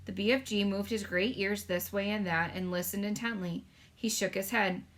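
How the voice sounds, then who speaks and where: A woman reading a children's story aloud as narrator, in expressive scripted narration. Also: mid-range voice, moderate pace. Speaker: storybook reader.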